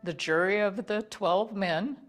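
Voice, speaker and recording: A woman's voice: medium pitch, unhurried, faint music behind. A woman telling a historical account as an interviewee in a documentary.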